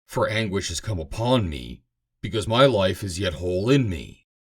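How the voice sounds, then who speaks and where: A man's voice: deep voice, very animated, normal speed, full character voice. A man voicing a wounded, pleading king in anguish in a dramatic scripture reading. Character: anguished, mortally wounded male king begging for death.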